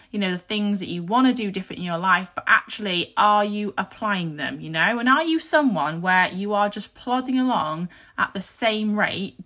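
A woman in her thirties hosts her own podcast while nursing a sore throat, so her voice comes out croaky and husky. Her tone stays bright and forward. She talks in an earnest, reflective, motivational way. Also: mid-range voice. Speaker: podcast host. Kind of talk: casual solo podcast talk.

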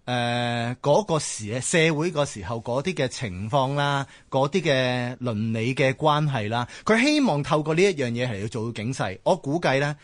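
A man's voice: mid-range voice, animated, moderate pace, few pauses, no echo. A man with a Hong Kong Cantonese accent talks through ideas as a discussion panelist. His intonation swings widely as he explains and checks that he is followed. The sound is close and dry. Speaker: talk show panelist.

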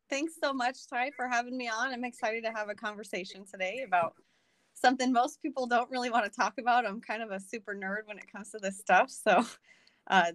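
A woman's voice: mid-range voice, a bit bright, dry recording. A young adult woman, a podcast guest, talking earnestly in a casual conversation, with many ums and restarts.

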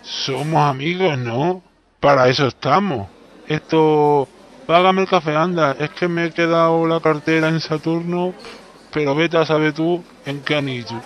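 A man's voice: on the high side, unhurried, lightly acted. A man playing a boastful, absent-minded travelling salesman with an accent from Spain, exclamatory and know-it-all, in a comic radio play. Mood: confiding, know-it-all. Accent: Spanish (Spain).

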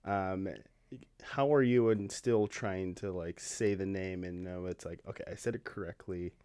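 A relaxed interviewer with an American accent and a very low voice, asking questions casually with lots of fillers. The sound is dry and close, with no room echo. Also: measured pace, smooth. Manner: relaxed, chatty, many fillers.

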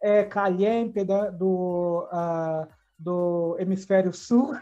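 A woman's voice: on the low side, drawn-out words, mellow, lo-fi sound. A Brazilian woman in her thirties hosting a casual interview, effusive and often repeating words as she searches for them. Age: 30s. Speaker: podcast host.

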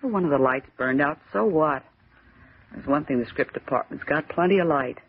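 A woman's voice: deep voice, normal speed, husky. An actress playing a brash, sceptical young female typist in a 1940s radio thriller, wisecracking in slangy, emphatic, exasperated lines. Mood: insistent, dismissive. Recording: dull vintage radio recording.

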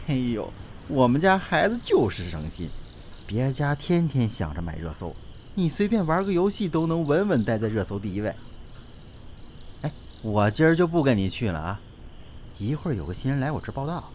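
A man's voice: medium pitch, big pitch swings, normal speed, darkish tone, a little hiss or hum, lightly acted. An actor playing a chatty, teasing male talent agent full of exclamations in a Chinese audio drama. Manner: exclaiming, colloquial banter.

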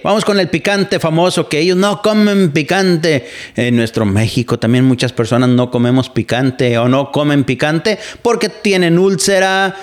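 A Mexican man from Mexico hosting a podcast, talking through his opinions in a loose, rambling way with many fillers and restarts. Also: fairly high pitch. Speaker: podcast host. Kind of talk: casual opinion monologue. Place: living in the United States.

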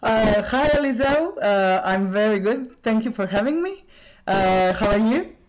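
A woman's voice: on the high side, lively intonation, measured pace. A Croatian woman, a language teacher who grew up speaking Croatian, answering interview questions in English with a Croatian accent and many hesitant fillers. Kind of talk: podcast interview. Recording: dull lo-fi sound.

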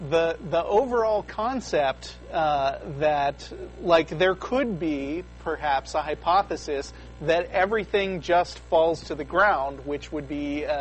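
A man's voice: fairly high pitch, rough recording. A young man co-hosting a call-in show, explaining ideas casually with lots of fillers and restarts. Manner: halting, many fillers and restarts. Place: studio.

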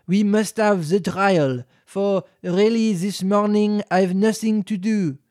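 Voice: medium pitch, slow pace, darkish tone, dry recording, clean studio sound, close to an everyday voice. A reader voicing an easily offended mouse in a storybook reading, severe and indignant. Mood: reciting.

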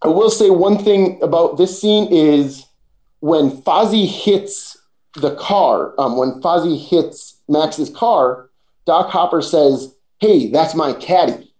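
A man's voice: fairly high pitch, takes it slowly, darkish tone, roomy sound, low-quality recording. A man in his early thirties co-hosting a casual movie podcast. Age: early 30s. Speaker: podcast co-host.

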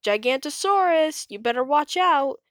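A cocky little dinosaur boy with a high, excited, shrill voice that cries out loudly, as a woman reads him aloud. He sounds smooth and clear in a dry room. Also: measured pace, dry recording. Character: boastful little male dinosaur prankster.

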